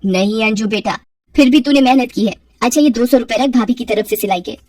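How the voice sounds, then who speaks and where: A woman's voice: fairly high pitch, a little fast, darkish tone, close to an everyday voice. A woman voicing a kindly, motherly, talkative elderly neighbour in a cartoon story. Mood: affectionate, chatty. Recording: roomy echo, distant from mic.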